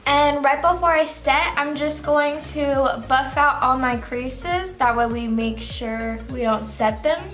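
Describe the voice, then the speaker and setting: A woman's voice: high-pitched, slow, few pauses, fairly bright tone, faint music behind. A young woman walking through her everyday makeup routine in a loose, chatty way, her voice still sleepy from just waking up. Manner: chatty, loose, heavy use of "like". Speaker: beauty vlogger. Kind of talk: casual makeup tutorial.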